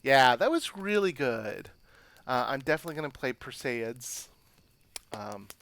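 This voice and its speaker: A man's voice: medium pitch, very animated, measured pace, fairly bright tone. A man streaming a game, chatting casually and reading story text aloud in a self-deprecating, chatty way. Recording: background music under voice.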